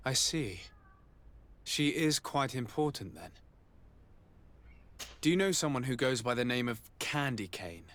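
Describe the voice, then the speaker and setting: A composed investigator in a video game. His mid-pitched voice stays polite and measured in manner while he presses for answers. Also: lively intonation, fairly bright tone. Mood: polite, probing. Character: composed, courteous but pressing male investigator.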